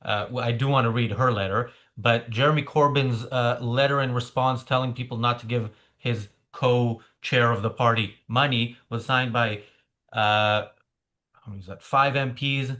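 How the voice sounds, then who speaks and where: A man's voice: medium pitch, steady tone, moderate pace, bright, forceful tone. A man hosting a political commentary podcast, talking casually with a wry, sarcastic edge and plenty of hesitations. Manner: wry, sarcastic, many filler sounds. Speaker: podcast host.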